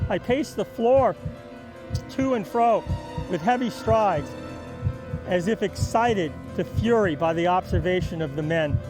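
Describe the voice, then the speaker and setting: A nervous murderer, acted by a man, tells his own crime in a high-pitched voice. The delivery is slow, theatrical and emphatic, tormented by guilt, with music clearly behind it. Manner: melodramatic, deliberate, emphatic delivery with repeated words. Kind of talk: theatrical dramatic reading of a gothic horror story.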